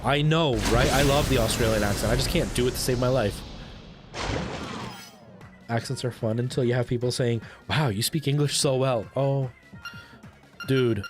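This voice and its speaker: A young man who grew up in Canada talks to his stream as he plays. His voice sits at an ordinary male pitch, and his manner is chatty and expressive, often repeating a phrase for emphasis. Faint music plays behind him. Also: moderate pace, pauses often. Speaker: game streamer. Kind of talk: casual live stream chat while gaming.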